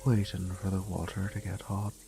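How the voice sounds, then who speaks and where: A man's voice: sits low, level tone, moderate pace, vocal fry, over music. A man playing a charming reformed thief and flirtatious, tender fiancé in an intimate romantic audio roleplay, murmuring and dropping into whispers. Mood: flirty, teasing.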